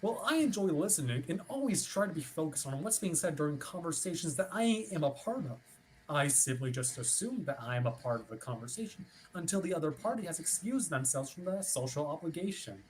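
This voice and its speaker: An actor as officious, animated ship watch officer. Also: mid-range voice, brisk, slight background noise, lightly acted, low-quality recording. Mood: chipper, formal. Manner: formal, wordy, over-polite. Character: officious ship watch officer, chipper, rule-bound, politely stubborn. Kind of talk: staged reading of a play over video stream.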